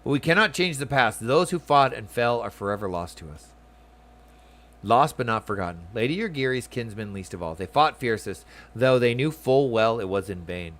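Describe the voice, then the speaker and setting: A man's voice: mid-range voice, expressive, moderate pace, fairly bright tone, close to an everyday voice. Man playing a solemn, courteous ailing old father. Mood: solemn, grateful. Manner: formal, stately phrasing.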